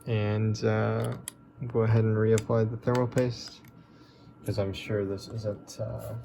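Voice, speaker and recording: A man hosting a relaxed, chatty repair walkthrough, his voice going a bit hoarse as he works. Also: on the low side, slow, noisy background. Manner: relaxed, chatty, with many fillers. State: losing his voice. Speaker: tech repair video host.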